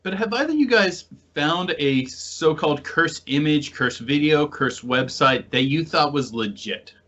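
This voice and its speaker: Slightly higher-pitched man, casual podcast host. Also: lively intonation, measured pace, fairly bright tone. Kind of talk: casual chat. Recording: dull lo-fi podcast audio.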